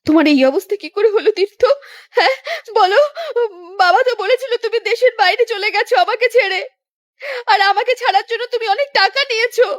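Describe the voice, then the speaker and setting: A woman playing a wronged, long-suffering young woman in an animated melodrama, speaking in a plaintive, earnest way. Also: very high voice, fairly quick. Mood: tearful, accusing.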